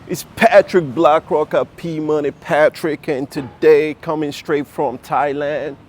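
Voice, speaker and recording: Slow-talking Nigerian man vlogging outdoors. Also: on the high side, fairly even intonation. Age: 30s. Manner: earnest, repeats phrases for emphasis. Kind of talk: walking personal vlog to camera. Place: city street outdoors. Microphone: handheld self-filming camera.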